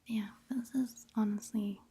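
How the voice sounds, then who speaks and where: A woman gives ASMR game commentary in a very breathy, whispery voice. She takes her time, murmuring gently and unhurriedly in an even, mellow tone. The delivery is soft and rambling throughout. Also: mid-range voice, unhurried. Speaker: ASMR gaming video host.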